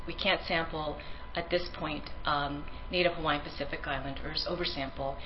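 A woman's voice: low voice, fairly even intonation, moderate pace, muffled recording, background noise. A woman researcher giving a conference presentation in an earnest manner, with frequent ums and restarts; her first language is Tagalog.

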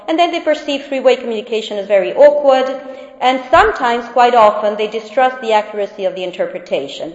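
A woman's voice: a little high, darkish tone. Argentine woman lecturing in an echoey hall. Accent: Argentine Spanish. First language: Spanish. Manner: hesitant, many fillers and restarts. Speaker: researcher presenting findings. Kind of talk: academic talk. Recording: echoey hall, dull sound.

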